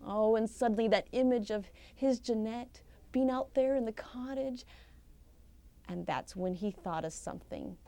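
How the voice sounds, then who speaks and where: Slow, bright-voiced woman telling a folktale. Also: on the high side. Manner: expressive, theatrical, with deliberate pauses and emphasis. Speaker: professional storyteller. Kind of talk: dramatic live oral storytelling of a folktale. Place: live storytelling session at a conference.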